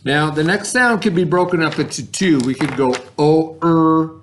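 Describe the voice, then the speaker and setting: A man's voice: fairly high pitch, normal speed, a little hiss or hum. A man working as an elementary teacher, patiently leading a spelling lesson for children with questions and sounded-out letters. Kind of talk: read-along spelling lesson for children at home.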